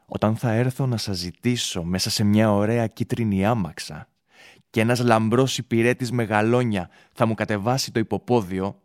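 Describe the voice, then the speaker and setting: A man's voice: on the low side, no room sound, clean studio sound, close to an everyday voice. A man playing an ardent, ambitious young stage actor in love, in a theatrical, impassioned radio drama style. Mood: proud, confident.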